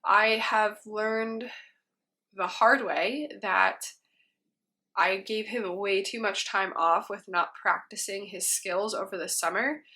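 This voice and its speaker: A woman's voice: medium pitch, fairly even intonation, slow, sharp tone. A woman in her thirties, congested from a cold, chatting casually to camera as a homeschooling mom, rambling with frequent ums.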